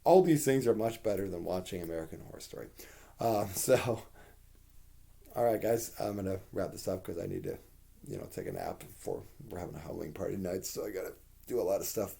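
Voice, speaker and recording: A man with a hoarse voice giving a rambling, candid spoken TV review, with plenty of fillers and the odd curse. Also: deep voice, big pitch swings, fairly quick, warm tone.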